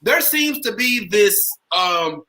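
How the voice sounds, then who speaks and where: A man's voice: high voice, big pitch swings, takes it slowly, bright, forceful tone. An American man hosting a casual chat, talking in a slangy way and putting questions to his guests. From St. Louis, Missouri, US. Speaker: podcast host.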